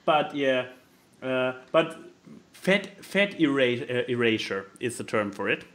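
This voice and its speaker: A man's voice: medium pitch, expressive, measured pace, a bit bright. A man co-hosting a podcast, chatting casually with dry sarcasm. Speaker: podcast co-host. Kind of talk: casual chat.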